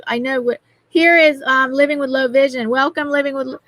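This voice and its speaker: A mature woman hosting a panel casually, in a halting way with repeated words and restarts. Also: high voice, steady tone, crowd noise. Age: 40s or older. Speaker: livestream panel host.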